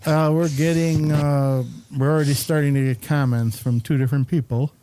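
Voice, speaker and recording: A man hosting a casual interview, talking in a halting, hesitant way. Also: fairly high pitch, fairly even intonation, dark tone, a little hiss or hum. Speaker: show host and interviewer.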